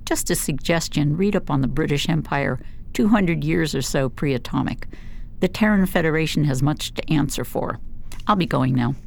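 Woman acting a low-voiced, weary woman scientist. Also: normal speed, creaky. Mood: bitter, sardonic. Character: principled, weary, pessimistic woman scientist at an alien colony. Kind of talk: science fiction radio drama acting.

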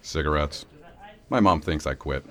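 A man's voice: extremely low pitch, moderate pace, a bit bright. A man playing a kindly, self-deprecating sheriff in an audio drama, with a wry, sarcastic way of talking. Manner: wry, sarcastic, mumbled asides.

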